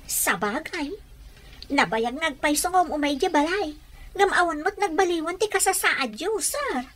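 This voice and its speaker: An actress with an Ilocano Filipino accent playing a respectful, questioning household helper in a radio drama. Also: female voice, very high voice, expressive, measured pace, a bit bright, exaggerated voice. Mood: deferential.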